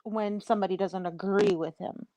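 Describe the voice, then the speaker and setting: A woman's voice: mid-range voice, steady tone, normal speed, warm tone, dry recording. A woman in a casual political discussion, speaking bluntly as a panelist.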